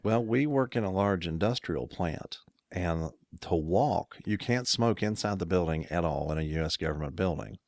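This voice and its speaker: A man's voice: low voice, expressive, normal speed, darkish tone, no echo, clean studio sound. A middle-aged American man co-hosting a casual podcast, telling rambling workplace stories with chuckles and self-corrections. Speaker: podcast co-host. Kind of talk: casual chat.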